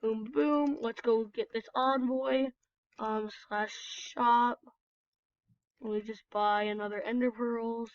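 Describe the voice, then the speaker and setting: A woman's voice: a little high, fairly even intonation, slow pace, dry recording. A woman with a cold, nasal and congested, rambling casually as she comments on her own gameplay for a video. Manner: chatty, rambling, apologetic. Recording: dull, lo-fi, dry recording.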